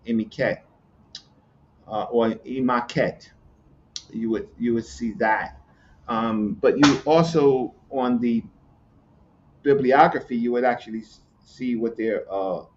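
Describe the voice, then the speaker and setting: A man from Virginia, explaining hieroglyphs in casual chat, halting, with many fillers and repeated words. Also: medium pitch, a little hiss or hum. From Newport News, Virginia, USA. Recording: muffled lo-fi audio with faint ambience.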